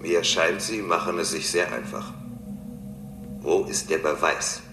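Very deep logical robot. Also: male voice, fairly even intonation, measured pace, music in the background. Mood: coolly questioning. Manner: formal, stubborn, matter-of-fact. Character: newly built robot, coolly logical, questioning its existence. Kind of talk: German radio play acting. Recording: roomy, clearly noisy radio drama recording.

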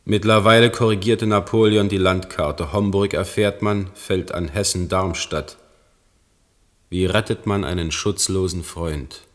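Solemn state official, deep voice, formal, measured, dutiful, radio play. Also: male voice, fairly even intonation. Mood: grave, formal. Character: grave government councillor, loyal friend turned dutiful official. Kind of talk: German radio drama acting.

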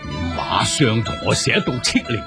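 A man's voice: lowish voice, wildly swooping pitch, gravelly, music in the background, heavily acted. An actor playing an imperious, suspicious chancellor and father in a Cantonese radio drama, stern and authoritative, with a Hong Kong Cantonese accent. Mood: commanding. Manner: stern, authoritative, drawn-out delivery.

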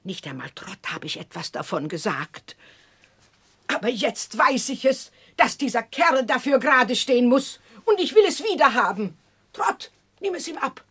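An actress playing a formidable, blunt older aunt in a radio drama, speaking emphatically. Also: female voice, fairly high pitch, big pitch swings, normal speed, a bit bright, breathy edge, full character voice. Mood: resolute anger. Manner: emphatic, forthright. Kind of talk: 1960s German radio drama acting.

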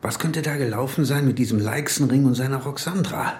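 An actor playing a teasing, pointed police detective in a radio drama. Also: male voice, mid-range voice, a little fast, background noise, close to an everyday voice. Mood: wry, probing. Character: needling, gossipy male police detective. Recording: roomy echo.

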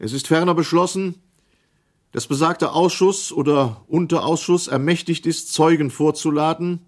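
A man playing a formal senator who chairs an inquiry, speaking solemnly and in measured official phrases in a documentary radio play. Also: on the high side, natural voice.

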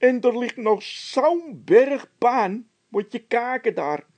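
A man's voice: very high-pitched, animated, slow pace, darkish tone, voice pushed up, full character voice. A man voicing a timid, whining workman who refuses to budge, speaking emphatically in a storybook tale. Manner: whiny, emphatic.

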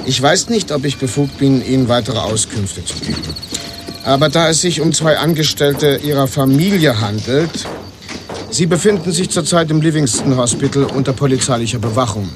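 An actor playing an officious, guarded police sergeant in a German radio drama, speaking formally. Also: male voice, mid-range voice, expressive, moderate pace, background noise, natural voice. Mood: officious, smug.

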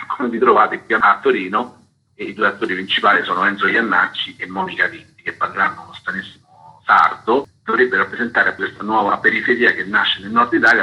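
A man's voice: lowish voice, bright, forceful tone, husky, poor audio. A man speaking as a guest on a radio show, explaining and analysing off the cuff. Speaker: interview guest discussing a book.

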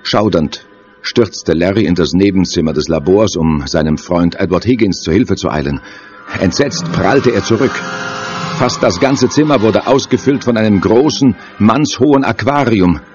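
A man narrating a lurid horror radio play gravely and dramatically from a script. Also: lowish voice, lively intonation, normal speed, darkish tone, lightly acted. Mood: grim, dramatic. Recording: dull muffled audio over music.

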